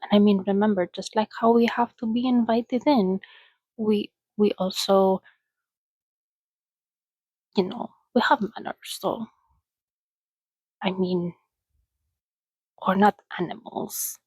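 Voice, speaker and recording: A woman voicing a soothing, centuries-old, well-mannered vampire in a soft-spoken ASMR roleplay, chatting gently and often dropping to a whisper. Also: mid-range voice, fairly even intonation, husky, no echo, low-quality recording. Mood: gently reassuring. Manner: unhurried, gentle, chatty, reassuring.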